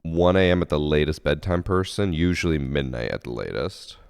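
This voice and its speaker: A man with a remarkably low, gravelly voice chats through a home game stream at a desk mic. His tone is smooth and resonant but sniffly and congested from a late night. He rambles easily and pokes fun at himself. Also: normal speed, a little mellow, no room sound, clean studio sound, soft background music. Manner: laid-back, rambling, self-mocking. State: sniffly, congested from lack of sleep. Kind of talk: casual live-stream chat, reading chat aloud.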